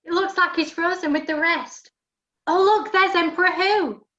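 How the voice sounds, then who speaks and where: A woman's voice: very high voice, steady tone, normal speed, bright, forceful tone, highs cut off. A woman playing a cheeky, outspoken sister in a rhyming pantomime. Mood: excited. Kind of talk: rhyming amateur pantomime acting and narrating.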